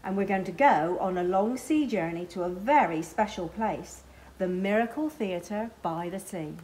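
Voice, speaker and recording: A woman's voice: mid-range voice, normal speed, a little mellow, a little hiss or hum, lightly acted, low-quality recording. A woman voicing a kindly father mouse from a children's storybook. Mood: announcing.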